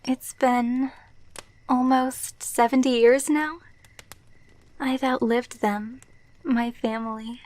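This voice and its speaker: A woman playing a gentle, wistful vampire girlfriend in an ASMR roleplay, speaking softly and tenderly. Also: fairly high pitch, fairly even intonation, no room sound. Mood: wistful, nostalgic. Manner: soft, slow, tender, wistful delivery.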